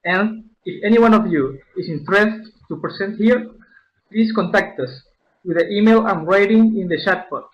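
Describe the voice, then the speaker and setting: High-voiced man hosting, muffled audio. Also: normal speed. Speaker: webinar host. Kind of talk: scripted event introduction. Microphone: online webinar connection. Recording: dull, muffled webinar audio.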